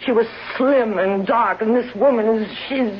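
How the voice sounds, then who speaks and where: A woman's voice: fairly high pitch, moderate pace, natural voice. A woman playing an ailing, bitter, suspicious wife in a 1970s radio drama, dramatic and accusing. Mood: scornful, accusing. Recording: muffled, noisy 1970s radio broadcast.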